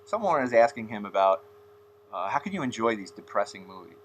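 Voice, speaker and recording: A man's voice: lowish voice, very animated, brisk, a little hiss or hum, rough recording. A man hosting a casual, rambling interview, telling stories and laughing at his own jokes. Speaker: podcast host.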